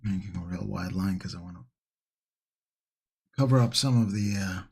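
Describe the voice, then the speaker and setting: A man hosting a casual painting livestream, chatting to viewers in an unhurried, rambling way as if musing to himself, with a husky, gravelly voice. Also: deep voice, warm tone. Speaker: livestream host, miniature painting hobbyist.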